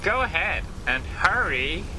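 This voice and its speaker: A man's voice: high voice, very animated, measured pace, bright, forceful tone, a little hiss or hum, heavily acted, rough recording. A man playing a greedy, treacherous archaeologist in a horror audio drama, with a theatrical, melodramatic delivery. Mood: conspiratorial. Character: scheming, greedy, treacherous archaeologist plotting murder. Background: music.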